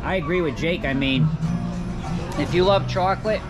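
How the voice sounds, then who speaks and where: An upbeat man in his forties hosts a food review vlog, chatting to his viewers. He speaks in a fairly high voice into a handheld camera on an outdoor patio. Music plays in the background. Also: normal speed, a loud crowd. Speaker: vlog host. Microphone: handheld camera at arm's length.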